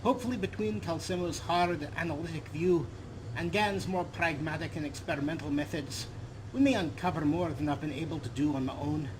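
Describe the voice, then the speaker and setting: A man's voice: on the high side, a little fast, natural voice. A man reading a game journal aloud as an earnest, fussy fantasy scholar, formal and emphatic. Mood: intrigued, pondering. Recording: clear background noise under voice.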